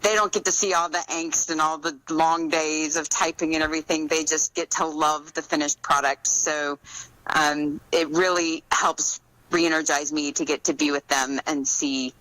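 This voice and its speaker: A woman's voice: low voice, fairly even intonation, moderate pace, sharp tone, no room sound, low-quality recording. A woman author speaking as a guest in a casual interview chat.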